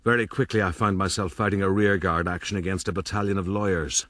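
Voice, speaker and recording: An actor playing a proud, roguish gentleman who narrates his own story in a florid, boastful, rueful way for a period radio drama. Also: low-pitched, steady tone, quick pace, no echo, studio quality. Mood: measured recounting.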